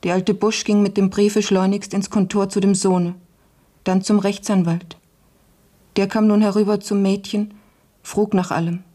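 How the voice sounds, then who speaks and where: An actress playing a serious, shrewd young housemaid in a German radio play, speaking plainly and in a subdued way. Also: female voice, mid-range voice, little pitch movement, measured pace, warm tone, lightly acted. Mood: quietly determined.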